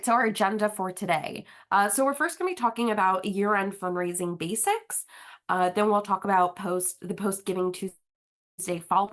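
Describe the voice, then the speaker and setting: A woman's voice: medium pitch, a bit bright. A woman with a slight cold, a little sniffly, hosting and presenting an online webinar in a casual, friendly way with frequent fillers. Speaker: webinar host, marketing manager. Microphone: video call.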